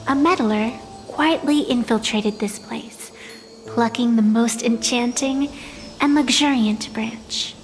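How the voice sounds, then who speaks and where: An ageless female arbiter from a fantasy video game. Her voice sits on the high side, measured and poetic, with a proud, fatalistic gravity. Music plays clearly underneath. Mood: solemn storytelling. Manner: solemn, deliberate, poetic, formal. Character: ancient, proud female arbitrator of deadly games, solemn and fatalistic. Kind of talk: scripted video game voice acting, dramatic storytelling.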